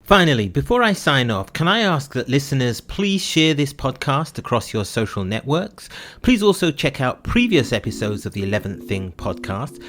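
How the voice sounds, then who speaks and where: A man's voice: mid-range voice, big pitch swings, normal speed, fairly bright tone. A man hosting an interview podcast in a courteous, formal manner. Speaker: podcast host.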